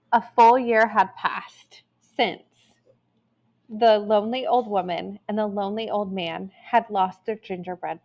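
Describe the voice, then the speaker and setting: A woman storyteller reading a children's tale aloud in an expressive, deliberate way with dramatic emphasis. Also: medium pitch, dull audio.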